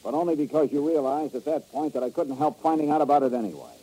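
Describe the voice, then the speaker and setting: A hard-boiled investigator, clipped and sardonic, dark husky voice, muffled old radio. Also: male voice, on the high side, expressive, fast. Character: hard-boiled male insurance investigator, blunt. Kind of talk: 1950s radio drama acting.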